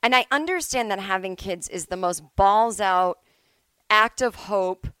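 A woman's voice: mid-range voice, measured pace, fairly bright tone, clean tone, dry recording. A middle-aged woman hosting a solo podcast, talking casually and bluntly, with emphatic, sweary opinions. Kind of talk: casual opinionated monologue, ranting. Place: at home.

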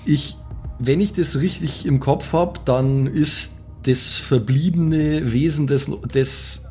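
A man's voice: on the high side, takes it slowly, warm tone, faint music behind. A man casually improvising his moves as a tabletop player, thinking aloud with some hesitation. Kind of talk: casual improvised game talk.

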